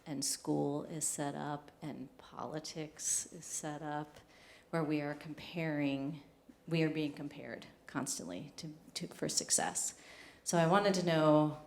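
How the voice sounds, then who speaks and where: A woman who is a marketing professor asks an articulate, probing question from the floor of a conference. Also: deep voice. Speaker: marketing professor, audience member. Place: conference hall. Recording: echoey conference hall.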